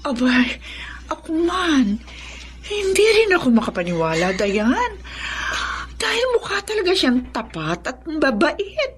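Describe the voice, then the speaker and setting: A woman's voice: high-pitched, big pitch swings, measured pace. A villainous middle-aged Filipino lady of the house. Her delivery swings widely, theatrical and imperious. She can coat her scheming in false sweetness. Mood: feigned concern. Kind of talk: Filipino radio drama acting.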